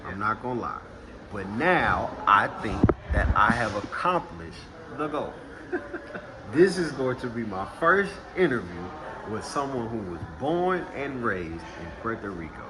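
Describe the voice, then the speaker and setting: A man's voice: mid-range voice, big pitch swings, unhurried, background noise. A man from Texas hosting a casual interview, talking in a laid-back, slangy way. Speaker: host. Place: indoors in Puerto Rico.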